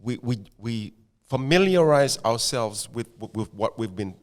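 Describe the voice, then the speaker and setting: Opinionated man slowing down to make a point. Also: mid-range voice, expressive, smooth. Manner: opinionated, stretches words for emphasis, asks "right?". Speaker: podcast co-host. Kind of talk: casual conversation.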